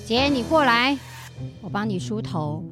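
A patient, gently teasing mother with a slow, sing-song delivery that rises and falls a lot. She speaks over a music bed in a children's radio drama. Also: on the high side, expressive. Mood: coaxing. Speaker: school principal.

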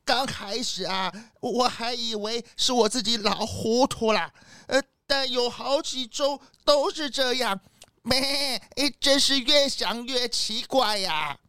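A woman's voice: on the high side, slow, a bit bright, smooth, dry recording, studio quality. A woman voicing a doddering, worried old male goat in a children's audio drama, stammering and bleating as he talks. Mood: puzzled, worried. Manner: stammering, bleating at phrase ends.

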